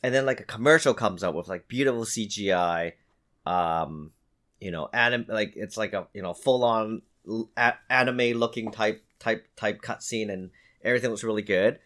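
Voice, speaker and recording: A man's voice: on the low side, moderate pace, fairly bright tone. A man co-hosting a podcast, arguing casually and insistently, repeating himself for emphasis and swearing freely. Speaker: podcast co-host. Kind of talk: casual argumentative podcast chat.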